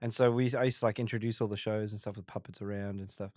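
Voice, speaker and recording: A man in his forties with a faint South African accent under an Australian one, telling stories in a relaxed interview, full of restarts and fillers. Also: on the low side, fast, no room sound, clean studio sound. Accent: faint South African, mostly Australian. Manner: rambling, many restarts and fillers. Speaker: guest comedian. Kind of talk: casual interview chat.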